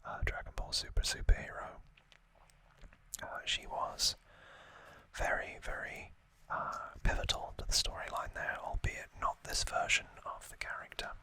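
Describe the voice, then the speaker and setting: A man hosting an unscripted ASMR unboxing in a breathy whisper, speaking in short, halting phrases with pauses. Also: sharp tone. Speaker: ASMR video host.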